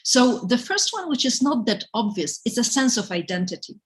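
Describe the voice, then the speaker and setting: A woman's voice: a little high, very animated, moderate pace. A woman professor hosting a live online session, upbeat and encouraging, explaining ideas step by step over a video call. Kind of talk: lecturing and moderating introductions.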